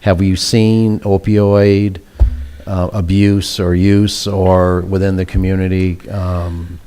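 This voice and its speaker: A man's voice: sits low, little pitch movement, takes it slowly, dark tone, clear, resonant tone. A man on a town council, giving short acknowledgments and asking plain questions of presenters.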